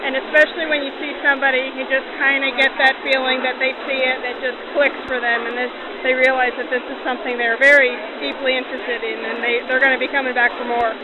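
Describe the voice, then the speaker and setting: A woman chats casually in an interview. Her voice is high and quite even in melody, on a thin vintage-style recording with clear noise. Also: fast talker, few pauses, phone-quality sound. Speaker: steam locomotive crew member. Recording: band-limited, noisy vintage radio-style sound.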